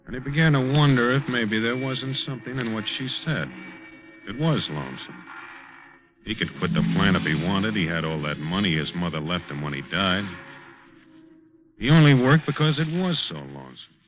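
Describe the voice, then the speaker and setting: An actor playing a quiet, lonely working man, hesitant and plain-spoken, in a 1940s radio drama style. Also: fairly low pitch, expressive, moderate pace, close to an everyday voice. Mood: brooding. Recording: muffled, narrow-band old radio broadcast, organ music.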